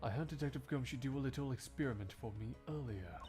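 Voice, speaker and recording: A man playing a cool, cerebral investigator with a measured, formal, confident delivery in a game fandub. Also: medium pitch, dark tone, close to an everyday voice. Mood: composed, pointed. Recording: music behind the voice.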